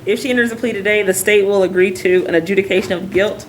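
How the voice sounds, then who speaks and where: A woman speaks as prosecutor in a courtroom, stating the terms of a plea offer as a formal list. Her pitch is ordinary and her delivery is measured. Faint background noise runs beneath her. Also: fairly even intonation, rough recording.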